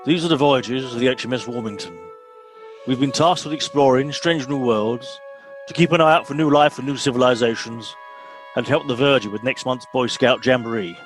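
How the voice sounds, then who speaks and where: A man's voice: mid-range voice, moderate pace, soft background music, close to an everyday voice. A man with an English accent playing a pompous, impatient middle-aged Home Guard captain in a comedy radio play. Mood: grand, self-important. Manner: officious, impatient.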